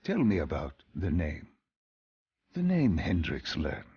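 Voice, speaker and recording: A man playing a stern, probing interrogator in a video game, questioning in a measured way. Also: sits low, huge pitch swings, husky, no echo, dull audio, full character voice.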